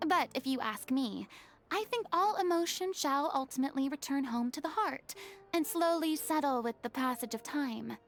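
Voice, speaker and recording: A woman's voice: high-pitched, lively intonation, moderate pace, a little hiss or hum. An actress voicing a theatrical former goddess in a game cutscene, lofty and ornate in her speech. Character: grand theatrical former goddess, young woman. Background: game music.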